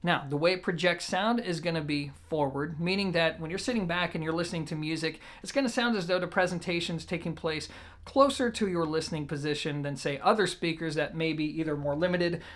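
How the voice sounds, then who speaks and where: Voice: medium pitch, a little fast, fairly bright tone. A reviewer explaining audio gear in a conversational, methodical way with informal asides. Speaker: product reviewer, show host.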